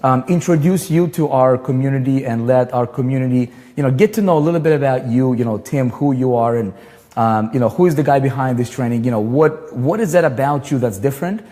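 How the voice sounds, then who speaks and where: Male host, mid-pitched, rambling and eager, reverberant room sound. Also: a little fast. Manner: excited, rambling, with many fillers and restarts. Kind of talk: livestream chat and interview intro.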